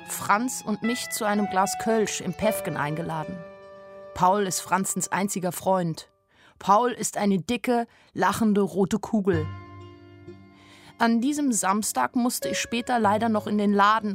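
A woman's voice: mid-range voice, natural voice. An actress playing a young shopgirl in love, narrating a radio play in a plain, reflective, anxious and melancholy way.

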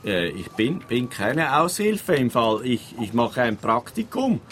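Slow, halting Swiss police trainee. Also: male voice, medium pitch, sing-song, a bit bright, a little hiss or hum. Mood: eager, earnest. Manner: halting, stammering, repeats words. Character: dim, eager, literal-minded male police trainee. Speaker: actor in a radio play. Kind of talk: radio comedy acting.

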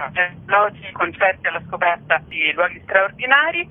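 A woman's voice: mid-range voice, no echo. Phone-band sound, woman, festival curator, conversational event presentation, interview guest. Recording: narrow, tinny phone line. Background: phone call to a radio show.

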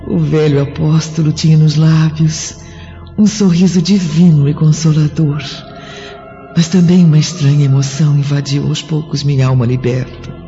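A woman playing a gentle, wonderstruck martyr's spirit in a solemn, lyrical, declamatory radio drama. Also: sits low, steady tone. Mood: tender sorrow. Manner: measured, lyrical, declamatory storytelling. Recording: muffled old radio drama, long reverb, music.

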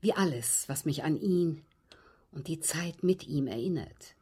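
An actress playing a genteel, aggrieved widow in a German radio drama, speaking in precise, formal, dramatic phrases with a husky voice. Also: female voice, low-pitched, animated, a little slow, dark tone, exaggerated voice. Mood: bitter, composed. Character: genteel, aggrieved widow recounting her captivity.

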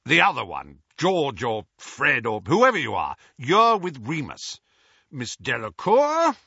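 A gruff old male wizard who trusts nobody and growls every order, voiced by a man reading aloud. The voice is forceful and husky, and the recording is dry and somewhat dull. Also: mid-range voice, huge pitch swings, takes it slowly, no echo, voice pushed up, exaggerated voice. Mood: gruff commanding.

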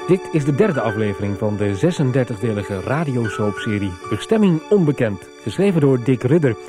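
A man's voice: medium pitch, sing-song, a little fast. A man narrating a scripted recap for a radio serial. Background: music.

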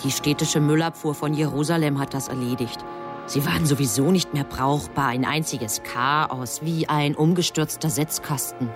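An actress playing an earnest, defiant subordinate angel in a radio drama, speaking insistently. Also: female voice, sits low, fairly even intonation, moderate pace, over music, natural voice. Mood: matter-of-fact.

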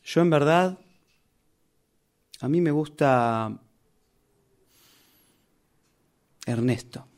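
A man's voice: fairly high pitch, slow pace, darkish tone. An actor with a Rioplatense Argentine accent playing a defiant, indignant character from a novel who argues with his author, in a radio play rehearsal. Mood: confessional. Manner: argumentative, reproachful.

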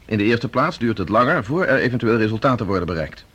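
An actor playing a cautious, sober professor with measured, reasoned delivery in a radio play. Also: male voice, lowish voice, animated, fairly bright tone, slightly rough. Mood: reasoning. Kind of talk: Dutch radio play dialogue.

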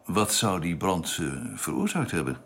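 A man playing a determined stranded astronaut in a science fiction radio drama, with a husky voice. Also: low voice, expressive, moderate pace, slight background noise. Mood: curious. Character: determined male astronaut, stranded and eager to get home.

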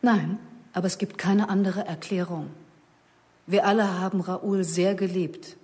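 A woman playing a composed, guarded violinist under questioning in a radio crime drama, speaking in a controlled, measured and formal way. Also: lowish voice, steady tone, darkish tone, natural voice. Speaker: actress playing a violinist and witness.

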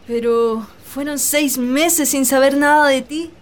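A woman's voice: high voice, slow pace. A woman playing an earnest, lovestruck young landowner's daughter in a romantic radio drama. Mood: longing. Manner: earnest, pleading.

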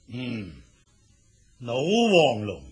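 A man's voice: mid-range voice, big pitch swings, drawn-out words. A man playing a stern, self-important heavenly emperor in a Cantonese storybook drama, giving pompous pronouncements. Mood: stern judging.

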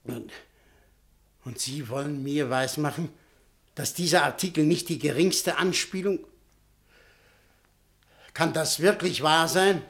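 Casting: brusque, commanding newspaper man, slightly high voice. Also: takes it slowly, long pauses, a bit bright. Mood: suspicious. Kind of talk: radio play acting.

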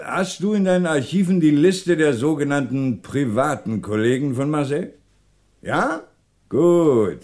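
An actor playing a shrewd, teasing police inspector in a German radio crime drama, brisk and commanding. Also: male voice, a little high, a little mellow. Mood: brisk, businesslike.

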